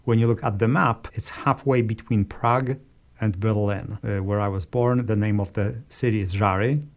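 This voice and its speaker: Polish man, measured explanatory talk. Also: fairly low pitch, fairly even intonation. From Poland. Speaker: organist, guest. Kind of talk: radio interview.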